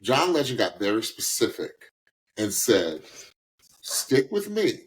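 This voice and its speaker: A man co-hosts a casual reaction podcast with highly animated intonation. He talks emphatically and off the cuff, often repeating a phrase to drive it home. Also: takes it slowly, poor audio. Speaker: podcast co-host. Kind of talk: casual unscripted reaction commentary.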